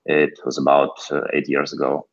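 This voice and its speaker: A man in his thirties from Ukraine, introducing himself as a guest developer in a steady way with some fillers. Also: very deep voice, moderate pace, lo-fi sound. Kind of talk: self-introduction in an interview.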